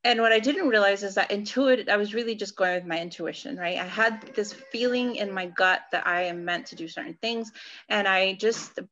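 A woman's voice: medium pitch, normal speed, fairly bright tone, muffled recording. A woman in her forties from California, co-hosting a casual podcast and thinking aloud, often checking in with "right?". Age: 40s. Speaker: podcast co-host. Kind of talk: casual personal chat.

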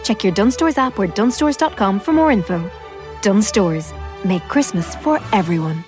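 A woman's voice: medium pitch, lively intonation, moderate pace. A woman reading an upbeat scripted commercial. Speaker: ad voice-over. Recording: faint music behind.

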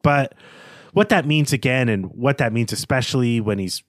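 A man's voice: mid-range voice, moderate pace, no echo, studio quality. A man co-hosting a podcast, casually analysing a book and thinking aloud as he goes. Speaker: podcast host.

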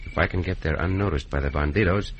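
An actor playing a calm, gallant Mexican cowboy hero in an old radio western, speaking formally and carefully. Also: male voice, sits low, quick pace, close to an everyday voice. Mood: calm, planning. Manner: formal, careful, uncontracted speech. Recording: noisy old radio recording.

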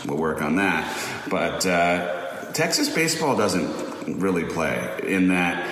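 A man's voice: low voice, sing-song, slow pace, a bit bright, slight background noise. A man co-hosting a casual morning show, playful and teasing in his banter. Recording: echoey room with long reverb.

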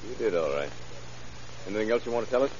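An actor playing a dry, unhurried, sardonic police lieutenant in an old radio crime drama. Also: male voice, on the low side, animated, a little mellow, lightly acted. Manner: dry, unhurried, understated questioning. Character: unruffled, dryly sardonic male police lieutenant. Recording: muffled, hissy 1930s radio recording.

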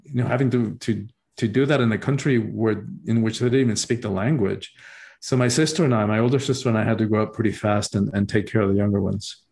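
A middle-aged man from Cuba, a spiritual teacher appearing as an interview guest, telling stories from his own life in a thoughtful way, pausing often and restarting phrases. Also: lowish voice, creaky, darkish tone. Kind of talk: reflective storytelling in an interview.

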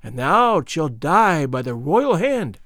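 A man voicing an ancient, cruel witch who speaks slowly with shrill mockery. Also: very animated, fairly bright tone, dry recording, studio quality, heavily acted. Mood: mocking. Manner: shrill, slow, mocking.

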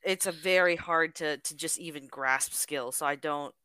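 A woman hosting a casual podcast, her voice lowish yet bright and forward. She chats loosely, trailing off into fillers, in a dry, close sound with no room around it. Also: moderate pace, clean tone, no room sound. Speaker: podcast host.

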